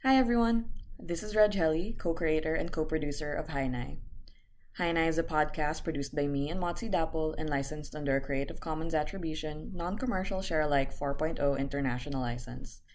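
A woman's voice: sits low, fairly quick, a little mellow, a little hiss or hum. A woman reading a scripted end-credits announcement as a podcast co-producer.

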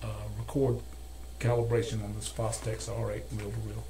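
A man's voice: on the low side, monotone, moderate pace. An older man narrating a hands-on technical tutorial in a methodical, step-by-step way, as a technician at his workbench. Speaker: repair technician demonstrating calibration. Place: cluttered indoor electronics workshop. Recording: noisy workshop room recording.

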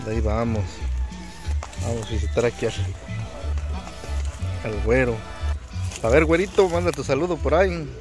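A man chats in a loose, slangy, jokey way, laughing as he goes. His voice sits at an ordinary pitch for a man. He is recorded outdoors in a field on a handheld phone, with music playing underneath. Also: steady tone, moderate pace, pauses often, darkish tone. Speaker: vlogger filming field workers. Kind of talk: casual on-the-job narration and chat.

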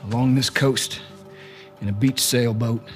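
An actor playing a bitter, weary, rugged middle-aged man in a game, with a halting, folksy drawl. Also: medium pitch, fairly even intonation, faint music behind, lightly acted. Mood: subdued, earnest. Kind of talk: naturalistic video game cutscene acting.

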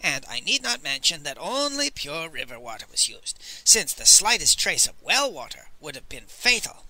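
Man playing an irascible, theatrical old sage, high and forceful. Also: big pitch swings, normal speed, no room sound, full character voice, low-quality recording. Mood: angry. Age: older. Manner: indignant, haughty, scolding.

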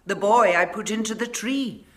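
A woman's voice: mid-range voice, normal speed, fairly bright tone, voice pushed up, close to an everyday voice. A woman voicing a tender, remorseful male giant from a storybook, speaking emphatically and earnestly. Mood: tender longing.

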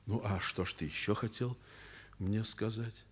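An actor playing a principled, fatherly male school headmaster in a radio drama, speaking deliberately and emphatically with pauses between phrases. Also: lowish voice, fairly even intonation, breathy edge. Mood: gentle, concerned. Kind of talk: Soviet radio drama acting.

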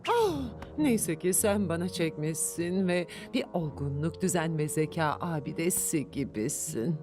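A woman voicing a scheming, haughty grandmother villain in a dubbed children's cartoon, pompous and theatrical with drawn-out words. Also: low-pitched, expressive. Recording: music under the voice.

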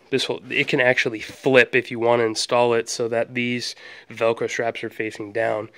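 A young man casually walking through a product at home, relaxed and rambling with lots of ums and restarts, filmed on a handheld phone. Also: mid-range voice. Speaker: product reviewer. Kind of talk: casual hands-on product walkthrough. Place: indoors, carpeted room at home.

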